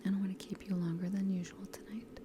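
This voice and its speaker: A woman whispering close to the microphone in an ASMR teacher role-play, gently repeating words and encouraging her class with patience. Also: mid-range voice, flat delivery, background noise. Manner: slow, gentle repetition of trigger words. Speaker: ASMR host playing a teacher. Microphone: close sensitive stereo microphone.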